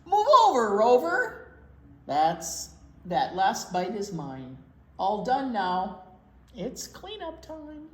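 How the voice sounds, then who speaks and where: A woman reading aloud to small children as a storytime teacher, with expressive, drawn-out delivery and playful asides. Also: medium pitch. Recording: roomy echo, faint noise.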